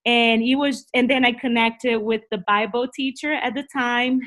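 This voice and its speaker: Higher-voiced woman with a Brazilian Portuguese accent. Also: steady tone, moderate pace, fairly bright tone. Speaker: interview guest, Bible teacher. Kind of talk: personal storytelling in a conversational interview.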